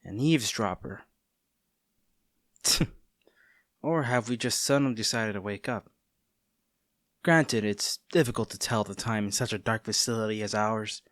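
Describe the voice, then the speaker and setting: A man performs a smug agent in an audio drama, taunting an old friend turned enemy. The voice is on the low side and very slow, with deliberate theatrical pauses, recorded close and dry. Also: a bit bright, dry recording. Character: smug, taunting male agent, villain's bitter former childhood friend. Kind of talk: dramatic scripted roleplay monologue.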